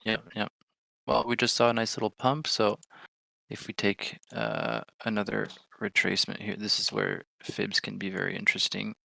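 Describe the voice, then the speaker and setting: A man hosting a live trading stream, giving chatty, excitable commentary and thinking aloud as he reads his charts. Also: lowish voice, gravelly, no echo.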